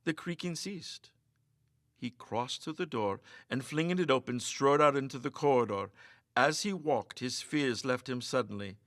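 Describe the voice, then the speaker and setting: A man delivers suspenseful, theatrical story narration. His voice sits at a medium pitch with a sharp, forceful tone. The sound is close and dry. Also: normal speed, no room sound. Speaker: story reader. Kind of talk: dramatic scripted narration of a ghost story.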